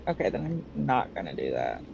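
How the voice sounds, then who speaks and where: A woman's voice: very deep voice, sing-song, fairly quick, creaky. A woman chatting casually as a player in a tabletop game. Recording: dull muffled mic, faint noise.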